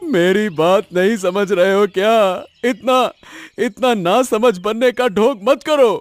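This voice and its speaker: A high-pitched, troubled elder brother from a village orchard, played by a male voice actor. He speaks dramatically and emphatically, as in a moral cartoon story, with faint music behind him. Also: slow, no room sound. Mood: bitter, accusing.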